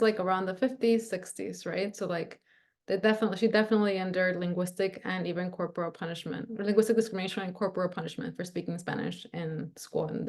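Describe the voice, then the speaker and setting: Workshop presenter, woman, lowish gravelly voice, casual filler-heavy teaching on a video call. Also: a little mellow. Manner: casual, frequent "like" and "right?" fillers.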